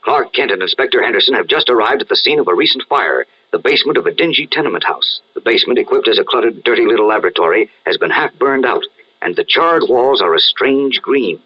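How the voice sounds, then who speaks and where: A male narrator delivers dramatic scripted storytelling for an old radio adventure serial. His voice is medium in pitch and husky, heard through a muffled, vintage-sounding recording. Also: lively intonation, quick pace.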